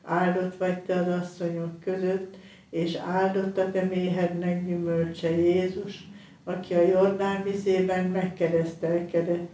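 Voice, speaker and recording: Woman, prayer leader, measured flat recitation, mellow low-ish voice, very echoey distant recording. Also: a little hiss or hum. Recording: very echoey church-like space, distant mic.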